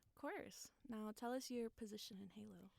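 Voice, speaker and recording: A woman's voice: mid-range voice, normal speed, creaky, a little mellow, no echo. A young woman around twenty hosting a podcast, interviewing casually with loose, informal phrasing. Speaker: podcast host.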